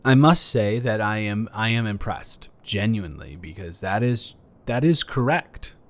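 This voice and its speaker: A man's voice: fairly low pitch, lively intonation, measured pace. A man improvising a romantic audio roleplay as a flirty, playfully boastful neighbor, talking straight to the listener in a teasing, stammering, rambling way. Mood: teasing, sincere.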